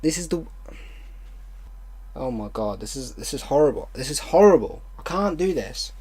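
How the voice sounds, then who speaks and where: A man hosting an online video, reading fan fiction aloud haltingly with exasperated, disbelieving commentary. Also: a little high, animated, darkish tone, slightly rough.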